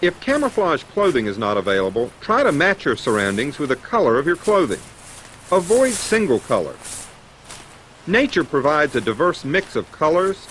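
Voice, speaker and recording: Male American instructor for scripted training narration: animated intonation, methodical, slightly noisy vintage film soundtrack. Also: a little high.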